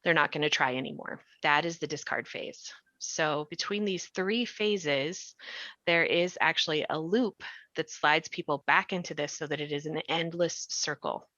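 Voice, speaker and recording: A woman's voice: low voice, moderate pace, bright, forceful tone, dry recording, highs cut off. A woman explaining in a discussion as a podcast writer and host, articulate and emphatic.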